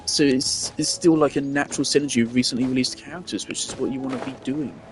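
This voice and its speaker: A man's voice: mid-range voice, fairly even intonation, quick pace, soft background music. A man giving casual gameplay commentary, thinking aloud and rambling with restarts. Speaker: gameplay streamer.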